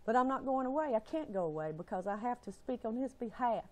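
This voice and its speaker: A woman's voice: fairly high pitch, expressive, fast, a little mellow. An upset woman with a Southern US accent speaks straight to camera in an impassioned rant. Her voice shakes, and her delivery is emphatic and exasperated, with plain folksy phrasing. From Alabama, United States. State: upset, shaky voice. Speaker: family caregiver.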